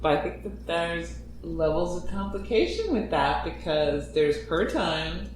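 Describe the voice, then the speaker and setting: A woman's voice: fairly low pitch, expressive, measured pace, darkish tone. Woman chatting in a very echoey room. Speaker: voice actor on a panel. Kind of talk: casual Q&A chat. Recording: echoey room, distant mic, faint noise.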